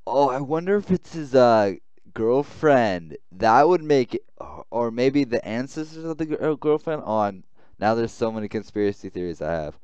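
A man doing a gaming let's play, reacting to the story out loud with exclamations and stumbling over words. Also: mid-range voice, animated, normal speed, clear, resonant tone, dry recording, muffled recording. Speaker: gaming YouTuber. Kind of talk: let's play, reading dialogue aloud and reacting.

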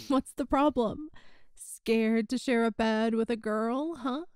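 A woman plays a young woman who loves to tease, speaking one side of an intimate roleplay conversation. Her voice sits high and she takes her time over each line, conversational and playful. The sound is close and dry, with no room around it. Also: slow, darkish tone, smooth, no echo. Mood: teasing. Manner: teasing, giggly, conversational. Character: playful teasing young woman, best friend's older sister. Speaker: audio roleplay voice actor.

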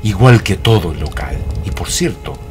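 A man's voice: low-pitched, lively intonation, normal speed, over music. A Chilean man narrating a wry radio play in a dramatic storytelling style.

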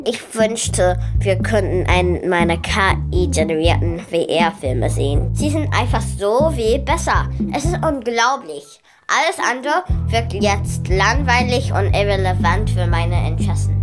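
A woman voicing a stubborn teenage girl hooked on virtual reality in a children's audio drama, with music underneath. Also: mid-range voice. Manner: stubborn, dismissive.

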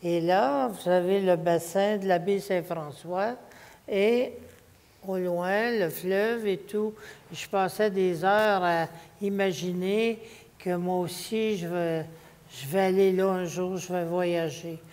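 A woman's voice: lowish voice, fairly even intonation, takes it slowly, darkish tone. A woman in her seventies with a Quebec French accent, talking reflectively as a panelist on an auditorium stage. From Quebec, Canada. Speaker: panelist, writer. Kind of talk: reflective unscripted panel talk. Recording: reverberant hall.

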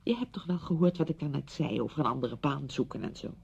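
An actress in a Dutch radio play, playing a brisk, patronising woman who fusses chattily over a widowed friend. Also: lively intonation, creaky, darkish tone, breathy edge, full character voice. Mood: brisk, chatty. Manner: chatty, fussing, condescending. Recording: dull radio recording with rumbling noise.

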